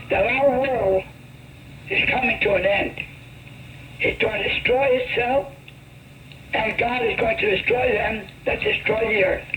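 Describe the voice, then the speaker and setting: A woman's voice: a little high, fairly bright tone, poor audio. A woman delivering a religious sermon on a shortwave radio broadcast. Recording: shortwave radio with faint noise.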